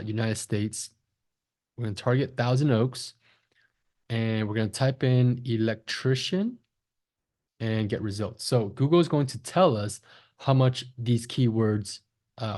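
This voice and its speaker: A man's voice: mid-range voice, darkish tone. A man giving a conversational step-by-step screen-share tutorial as an instructor, often checking in with short tags. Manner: conversational, frequent check-in tags like "right?" and "okay?". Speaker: online marketing instructor, agency founder.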